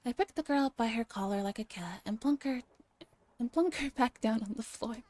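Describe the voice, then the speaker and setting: A soft-spoken woman streaming a gentle read-aloud in an ASMR style, sometimes slipping into breathy near-whispers. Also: fairly high pitch, fairly quick, no room sound. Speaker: streamer reading a visual novel aloud.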